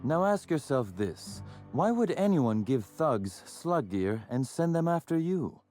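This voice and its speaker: Game role: wry, self-assured schemer. Lively, sardonic, conversational delivery over soft music. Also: male voice, on the high side, a little slow. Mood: smooth, knowing. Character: glib, wry, self-assured male suspect. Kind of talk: video game acting.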